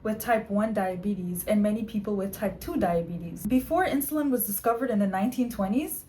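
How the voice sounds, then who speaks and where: A woman's voice: medium pitch, dark tone, slight background noise, rough recording. A woman presenting a scripted explainer as a fitness and wellness video creator, articulate, orderly and informative. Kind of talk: scripted explainer narration to camera.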